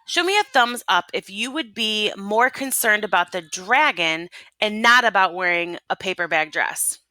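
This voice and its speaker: A woman's voice: mid-range voice, expressive, moderate pace, pushed and bright, no room sound. A woman teacher reading a picture book aloud expressively and prompting young listeners with questions. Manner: instructional, prompting. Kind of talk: expressive storytelling with questions to children.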